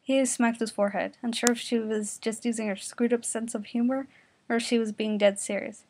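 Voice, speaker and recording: A woman's voice: a little high, flat delivery, fairly quick, darkish tone, a little hiss or hum. A woman narrating a comic fan fiction parody, reading it aloud as storyteller.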